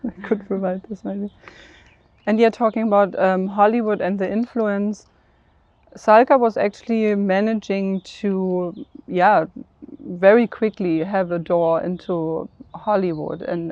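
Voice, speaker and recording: A woman speaks as a panelist in a conversational discussion. Her delivery is fairly flat in pitch, earnest and measured, feeling out phrases as she goes. The recording is dry and somewhat dull. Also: dry recording. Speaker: panelist, programme head.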